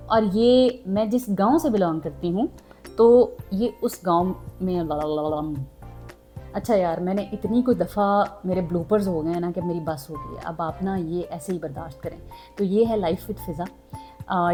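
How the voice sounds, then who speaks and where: Young woman vlogger; relaxed chatty voice-over, mellow timbre. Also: medium pitch. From Pakistan, southern Punjab. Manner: chatty, mixes in English words, frequent fillers. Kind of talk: casual chat to subscribers.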